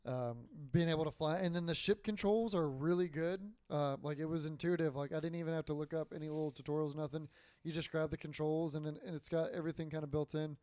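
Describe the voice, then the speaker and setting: A man co-hosting a casual gaming podcast, rambling with lots of fillers and swearing, his voice getting hoarse and dry. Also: fairly high pitch, fairly even intonation, fast talker, darkish tone, no echo. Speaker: podcast co-host.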